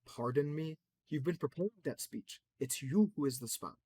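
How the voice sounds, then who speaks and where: A man's voice: a little high, animated, normal speed, darkish tone, slightly rough, dry recording. A young male hacker who stays on the defensive, read by a man in a near-normal voice. He talks plainly and keeps his tone tense. The room is close and dry.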